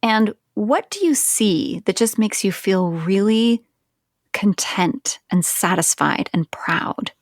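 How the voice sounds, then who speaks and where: A woman speaking slowly in a soft, partly whispered, guiding manner, as a story coach. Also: mid-range voice, lively intonation.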